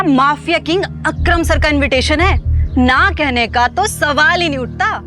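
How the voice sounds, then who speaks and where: An actress playing an insistent, scheming young socialite in an audio drama, coaxing as she talks. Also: high-pitched. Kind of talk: Hindi audio drama acting.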